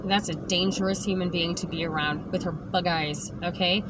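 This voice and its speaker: A woman vlogger with a hoarse voice, talking emphatically straight to the camera and often tagging lines with "okay?". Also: on the low side, fairly even intonation, moderate pace. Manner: emphatic, keeps adding "okay?". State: hoarse, lost voice. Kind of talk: direct-to-camera rant. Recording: background noise.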